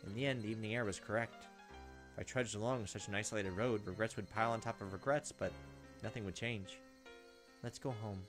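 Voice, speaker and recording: A man's voice: lowish voice, fairly even intonation, a little fast, fairly bright tone, soft background music. A man hosting a gaming video, reading story text aloud expressively and dropping in casual asides.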